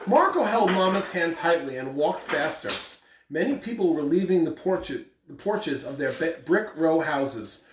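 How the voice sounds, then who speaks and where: An American man with an upbeat, deliberate, emphatic delivery, giving school announcements and reading aloud to children as a principal. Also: a little high, big pitch swings. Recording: roomy, distant mic with background noise.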